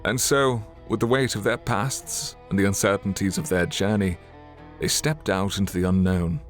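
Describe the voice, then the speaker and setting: A man's voice: fairly low pitch, fairly even intonation, moderate pace, faint music behind. A man narrating an audio drama in a scripted, dramatic storytelling style.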